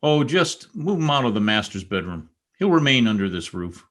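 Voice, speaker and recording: A man's voice: mid-range voice, very animated, moderate pace, lightly acted. A man playing a bitter, commanding former stable boy turned rich, sardonic, in old-time radio drama style.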